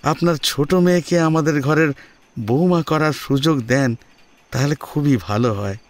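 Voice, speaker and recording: A man voicing a humble, deferential father who politely and haltingly pleads for a marriage match in an audio drama. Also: on the high side, no room sound, voice pushed up, lightly acted. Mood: humble pleading.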